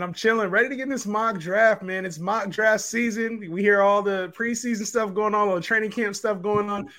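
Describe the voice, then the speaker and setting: Laid-back male podcast guest with a notably high voice. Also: a bit bright. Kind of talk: casual podcast chat.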